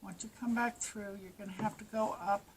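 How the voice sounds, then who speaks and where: A woman's voice: medium pitch, steady tone, a little fast, a little hiss or hum, low-quality recording. A woman with a stuffy nose and a fading voice, giving plain, practical step-by-step crochet instructions. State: stuffy nose, losing her voice. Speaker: crochet teacher. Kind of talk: step-by-step craft tutorial.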